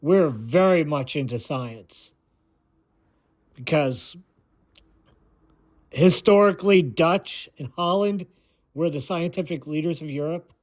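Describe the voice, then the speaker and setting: An American man giving a rambling, opinionated monologue in a blunt, dismissive way, often halting and repeating himself. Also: fairly high pitch. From United States. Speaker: video maker.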